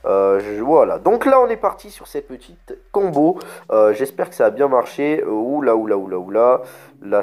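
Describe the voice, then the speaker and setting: Man with a cold commentating gameplay. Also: mid-range voice. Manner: excited, keeps pointing things out to viewers. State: has a cold, stuffy. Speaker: gaming video creator.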